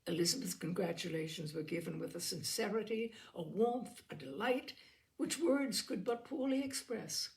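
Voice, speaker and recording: Gravelly-voiced woman narrating a classic novel. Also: mid-range voice, lively intonation, moderate pace, a little mellow. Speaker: audiobook reader. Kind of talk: scripted literary narration, reading a classic novel aloud.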